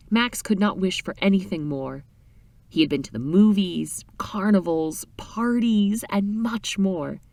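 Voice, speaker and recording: A woman reading a short story aloud in an expressive, dramatic storytelling style. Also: mid-range voice, no echo, distant mic. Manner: animated, dramatic reading with character voices.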